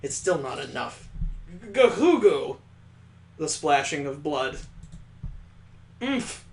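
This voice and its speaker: A man's voice: fairly high pitch, sing-song, slow, vocal fry, darkish tone, slight background noise. A man on a stream reading a visual novel aloud, breaking off for crude, joking asides and mock sound effects.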